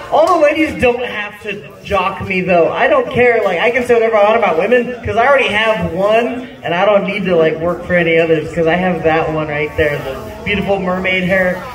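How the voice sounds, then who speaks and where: A man's voice: high voice, moderate pace, a crowd in the background. A man in his thirties doing rambling, self-deprecating stand-up at an open mic, laughing at his own jokes, on a handheld mic. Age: 30s. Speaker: stand-up comic. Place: small bar-like comedy venue indoors. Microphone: handheld mic, phone filming from the audience. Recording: roomy venue sound from a distant phone.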